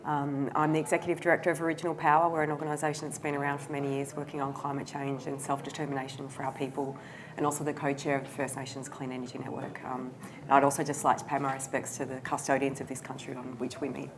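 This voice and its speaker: A woman speaks quickly and evenly, her voice low and fairly flat. She introduces herself in a matter-of-fact way. The sound of an audience carries clearly around her. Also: crowd noise. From southern Australia. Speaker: organisation executive director. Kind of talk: panel self-introduction.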